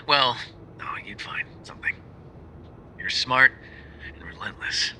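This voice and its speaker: A man playing a tender, sheepish, bookish mafia boyfriend in an ASMR roleplay, speaking softly and hesitantly as if on a phone call, often dropping to a whisper. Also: mid-range voice, expressive, slow, slight background noise, full character voice. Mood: fond, playful. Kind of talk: ASMR roleplay voice acting, intimate phone voicemails.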